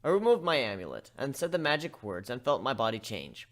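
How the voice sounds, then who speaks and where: A man giving an amateur dramatic reading of a first-person story, expressive and shifting between narration and character voices. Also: mid-range voice, a little fast.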